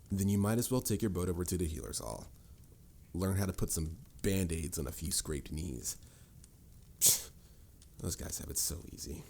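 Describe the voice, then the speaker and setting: A stern adult mage , played by a man, speaks in a low, mellow voice with formal, measured phrasing. He lectures the listener with dry exasperation, as a mentor in a fantasy audio roleplay. There is some noise and rumble behind him. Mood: grave.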